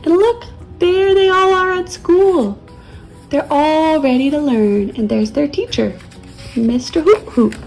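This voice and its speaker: A woman reads a children's story gently, as a teacher would to her young pupils. Her voice is fairly high and mellow, with husky creak, over soft music. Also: slow, poor audio. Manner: gentle, child-directed, soothing. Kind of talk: children's storybook read-aloud.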